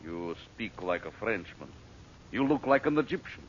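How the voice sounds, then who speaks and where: A man's voice: fairly low pitch, very animated, moderate pace. An actor playing a cold, ruthless male villain who gives orders in a commanding, menacing 1930s radio drama manner. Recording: lo-fi old radio transcription with hiss.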